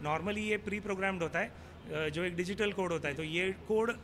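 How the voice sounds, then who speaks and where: A man's voice: high voice, moderate pace, fairly bright tone. A man with an Indian accent explains and demonstrates as a technical expert, mixing Hindi with English technical phrases. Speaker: technical expert being interviewed. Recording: clearly noisy on-location ambience.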